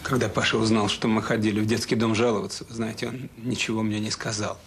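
A man's voice: on the low side, flat delivery, quick pace, slight background noise, natural voice. An actor playing a troubled, remorseful middle-aged father in a radio drama, speaking hesitantly and earnestly. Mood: bitter regret. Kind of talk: Soviet radio drama acting.

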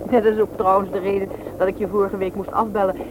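Through heavy noise on an old radio drama recording comes a brisk, practical woman, acted by a woman. She is forward and bossy, questioning a suitor in a businesslike way. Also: mid-range voice, little pitch movement, close to an everyday voice. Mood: chatty, practical. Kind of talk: Dutch radio play acting.

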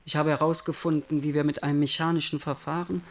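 An actor as an earnest male scientist in a radio play, with a fairly high voice. He answers questions in a precise, factual way. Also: little pitch movement, fast talker, slight background noise, natural voice.

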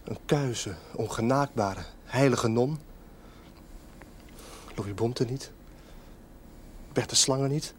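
An actor playing an embittered, lovesick young male outcast in a television drama, with a slow, brooding, softly taunting, theatrical delivery. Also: mid-range voice, lightly acted. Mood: menacing longing. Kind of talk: 1970s Dutch television drama acting. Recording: noisy old television soundtrack.

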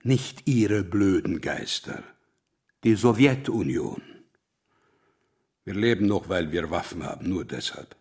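An actor in a radio drama playing a stern, impatient Cold War scientist, curt and husky. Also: male voice, deep voice, slow pace, pauses often, a little mellow, exaggerated voice. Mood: impatient, grim.

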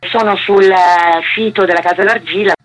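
A woman with a husky voice, speaking as a theatre director in a conversational radio interview. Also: medium pitch, steady tone, a little fast, lo-fi sound.

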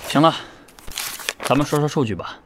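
An actor playing a businesslike, matter-of-fact manager of an idol group, in an audio drama. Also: male voice, a little high, moderate pace, a little hiss or hum, close to an everyday voice.

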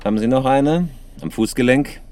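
A man's voice: medium pitch, normal speed, a little mellow, slight background noise, natural voice. A man playing a terse, unruffled career criminal with dry irony in a German radio crime drama. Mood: commanding. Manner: laconic, unruffled, dryly ironic. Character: cool, terse male career criminal on the run.

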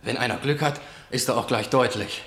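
An actor playing a blunt, excitable guard who gives emphatic, agitated reports in a verse tragedy radio play. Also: male voice, mid-range voice, fairly quick, vocal fry, echoey room. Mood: excited report. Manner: emphatic, breathless storytelling, swinging from cries to muttering.

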